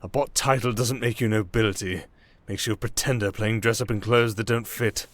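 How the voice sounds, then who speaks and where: A man's voice: fairly low pitch, steady tone, a little fast, no room sound, lightly acted. A man voicing a pompous, sneering, drunken young aristocrat fallen from grace, haughty and theatrical, in a roleplay audio drama.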